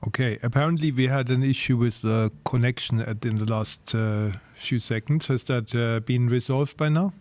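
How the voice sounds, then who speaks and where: A man's voice: mid-range voice, normal speed, few pauses, darkish tone, dry recording. A man moderating an investor conference call.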